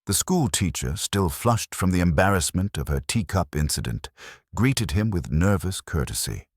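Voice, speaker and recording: Very deep male story narrator, dry recording. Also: sing-song, no echo. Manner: measured, literary storytelling. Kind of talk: scripted detective story narration.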